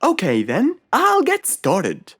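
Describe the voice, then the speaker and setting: A performer voicing an obliging, upbeat male fox handyman in a children's cartoon, with an animated, reassuring manner. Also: mid-range voice, takes it slowly, breathy edge, full character voice. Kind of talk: children's cartoon character acting. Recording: music behind the voice.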